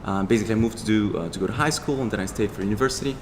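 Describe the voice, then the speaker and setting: Brazilian-accented young man, rapid and somewhat low-voiced, rambling guest answers; reverberant room with clear noise behind. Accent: Brazilian Portuguese. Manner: rambling with frequent restarts and fillers. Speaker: startup founder, guest. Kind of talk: casual interview.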